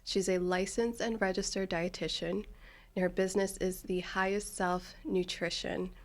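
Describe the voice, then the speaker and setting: A woman hosting a podcast and interviewing a guest in a warm, enthusiastic, casual way. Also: medium pitch, steady tone, normal speed. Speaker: podcast host.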